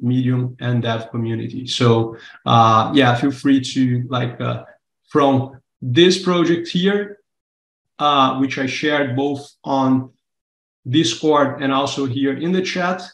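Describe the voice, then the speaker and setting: A man from Brazil whose first language is Portuguese, speaking English on a video call as he walks through a testing project in a relaxed, explanatory way. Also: mid-range voice, echoey room, rough recording. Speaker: workshop presenter. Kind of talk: live online workshop walkthrough. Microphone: webcam video call.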